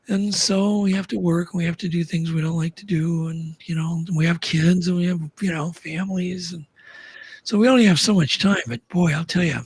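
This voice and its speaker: A man with a fairly high, very breathy voice talks as a podcast guest. He is earnest and casual, and he often restarts mid-sentence. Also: fairly even intonation, moderate pace.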